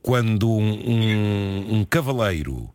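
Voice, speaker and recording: A man's voice: fairly low pitch, lively intonation, slow pace, darkish tone, clear, resonant tone. A man hosting a casual radio chat with a European Portuguese accent. He talks in a jovial way with many fillers and friendly asides. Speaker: radio host.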